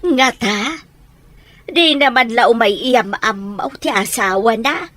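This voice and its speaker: A woman's voice: on the high side, expressive, slow pace, fairly bright tone, no room sound. An actress playing an easygoing, chatty woman companion in an Ilocano radio drama. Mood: chatty, sympathetic.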